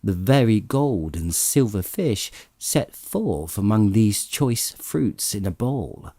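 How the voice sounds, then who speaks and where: A man with a low, mellow voice reads literary fiction aloud in a theatrical storyteller's style. His delivery is unhurried and swings widely in pitch as he savors the descriptive phrases. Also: expressive, no room sound. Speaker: audiobook narrator. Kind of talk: expressive literary storybook narration.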